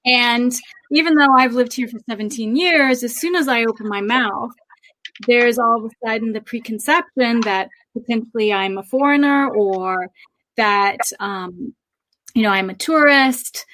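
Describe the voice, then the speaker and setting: A woman's voice: a little high, fairly bright tone, low-quality recording. American woman, reflective podcast co-host. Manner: reflective, measured, with frequent ums. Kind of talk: casual podcast chat.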